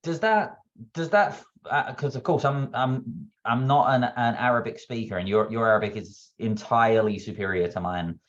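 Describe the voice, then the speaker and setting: A man from Texas asking a question at a discussion, speaking in a measured, courteous way and reading quotations aloud. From Texas, United States. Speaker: audience member asking a question. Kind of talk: polite question at an online discussion. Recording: dull, low-fidelity connection.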